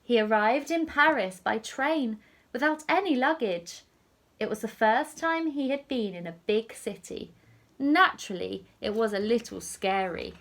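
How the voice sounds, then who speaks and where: A woman teacher reading a picture story aloud to young children in an expressive, deliberate storytelling manner. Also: fairly high pitch.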